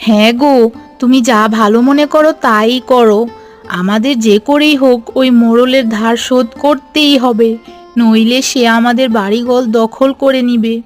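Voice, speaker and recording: A woman's voice: a little high, steady tone, moderate pace, soft background music, close to an everyday voice. A woman voicing an anxious, supportive village housewife in a cartoon, plaintive. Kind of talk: Bengali cartoon voice acting.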